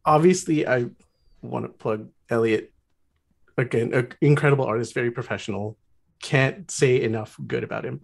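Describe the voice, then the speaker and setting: Man, husky voice, mid pitch, deadpan, gloomy jokes. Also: normal speed. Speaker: player. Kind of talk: casual chat.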